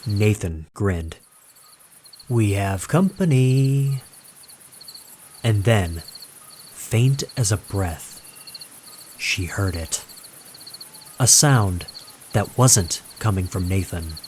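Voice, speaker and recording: A man reading a scripted horror story as narrator, dramatic and suspenseful, with deliberate pauses. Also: deep voice, background noise.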